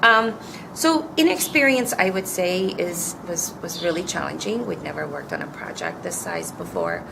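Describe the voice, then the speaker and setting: A woman's voice: fairly low pitch, sing-song, moderate pace, gravelly, noisy background. A woman in her fifties, originally from Turkey, earnestly answering interview questions as a coffee company co-founder, often restarting her sentences. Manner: reflective, earnest, with frequent restarts.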